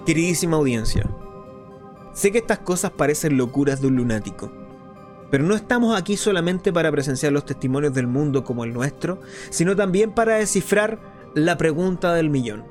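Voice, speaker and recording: A rather high-voiced actor as a male underground radio host addresses listeners in a conspiratorial radio-play monologue. He is theatrical and musing, with dramatic pauses, over music. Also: lively intonation, a little mellow, lightly acted. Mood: urgent, conspiratorial.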